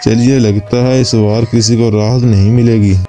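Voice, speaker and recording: A man's voice: medium pitch, steady tone, moderate pace, dark tone, faint music behind, natural voice. A man voicing an easygoing elderly father-in-law in a cartoon, who grumbles about his food. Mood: grumbling. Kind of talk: cartoon voice acting.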